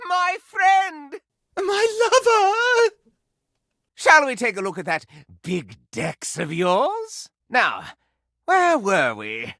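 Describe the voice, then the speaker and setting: A man's voice: very high-pitched, huge pitch swings, very slow, pushed and bright, no echo, studio quality, full character voice. A man voicing a flamboyant, pompous courtly dandy, a living candelabra in a video game, with florid, theatrical, grandiloquent delivery. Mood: coy, gushing.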